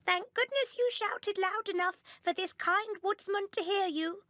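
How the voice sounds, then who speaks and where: A woman voicing a kindly elderly grandmother who speaks gently and reassuringly. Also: extremely high pitch, a little slow, dry recording, studio quality, pitched above the performer's own voice, heavily acted. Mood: soothing. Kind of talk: storybook reading.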